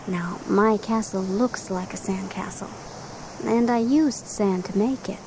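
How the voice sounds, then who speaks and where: Slow, gentle woman guiding bedtime relaxation. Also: medium pitch. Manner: gentle, soothing, unhurried instruction. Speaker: guided meditation narrator for children. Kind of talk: guided bedtime relaxation and storytelling. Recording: clearly noisy recording with background ambience.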